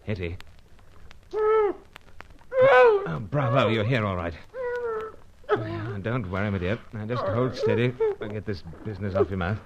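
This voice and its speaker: Deep-voiced jaunty British secret agent. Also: male voice, very animated, normal speed, a crowd in the background. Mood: gallant, soothing. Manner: hesitant, self-deprecating, old-fashioned chivalrous phrasing. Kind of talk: vintage-style radio adventure serial acting with first-person narration. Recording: dull, noisy old radio recording.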